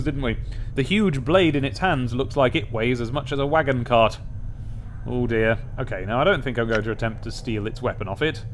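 A man's voice: medium pitch, slight background noise. A young British man giving casual, wry game commentary with rambling asides and bits of dramatic reading, close on a desk microphone. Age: young adult. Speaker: let's play host. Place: small indoor room at a desk. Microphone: desk microphone with pop filter, close. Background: game music.

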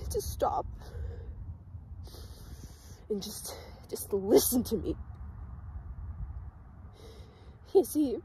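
A woman performing a dramatic monologue as a bitter, desperate teenage outcast on an emergency call, halting and emotionally intense. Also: on the high side, warm tone, a little hiss or hum. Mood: agitated, pleading. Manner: slow, halting, emotionally intense delivery with pauses.

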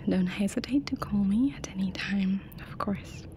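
A woman in her thirties whispers breathily and gently in a soft-spoken ASMR roleplay as an attentive train attendant. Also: medium pitch, moderate pace. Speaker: ASMR performer playing a first-class train attendant. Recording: steady ambient noise behind the voice. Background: clear ambient noise.

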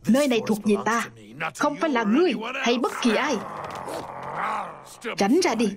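A woman dubbing every character in a cartoon herself, reading the lines in quick, lively turns. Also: on the high side, crowd noise. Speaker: dubbing narrator voicing all cartoon characters. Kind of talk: single-voice cartoon dub. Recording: dub over loud music.

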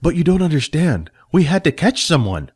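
Guy doing a jumpy eager sidekick, voice pitched up a bit. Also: very animated, normal speed, darkish tone, husky. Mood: earnest.

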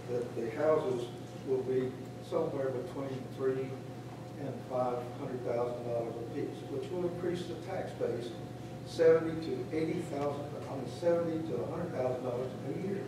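A man presents his request in a halting way, pausing often and correcting himself. His voice carries from far off in a large, echoey chamber, with room noise plain behind him. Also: mid-range voice, steady tone. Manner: halting, many fillers and self-corrections. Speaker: applicant. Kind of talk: public comment at county meeting. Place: large county commission chamber. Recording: echoey chamber, distant mic, clear ambience.

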